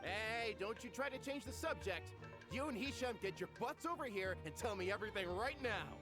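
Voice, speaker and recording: A nosy, indignant mercenary, played by a voice actor demands answers with a lively, swinging pitch. The voice is pushy and animated. Game music plays clearly behind. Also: normal speed, close to an everyday voice. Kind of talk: video game voice acting.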